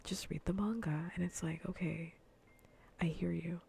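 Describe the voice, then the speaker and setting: A woman streamer chatting casually in a soft, breathy whisper on an ASMR gaming livestream, rambling and repeating phrases, close on the microphone. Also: fairly low pitch, fairly even intonation, normal speed, slight background noise.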